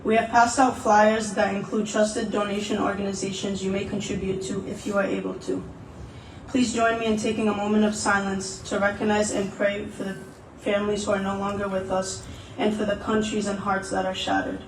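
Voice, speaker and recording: Man, solemn vigil speech, earnest, high voice, roomy hall echo. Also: level tone, a little fast. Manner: earnest, solemn, repetitive phrasing. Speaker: vigil speaker. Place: gathering indoors, recorded from afar. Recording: echoey, distant, noisy event audio.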